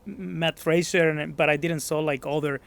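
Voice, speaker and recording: Modest Mexican-accented man, slightly high voice. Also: steady tone, moderate pace, creaky, a bit bright. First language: Spanish. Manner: searching for words, modest, restarts phrases. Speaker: gym member being interviewed. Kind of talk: casual interview.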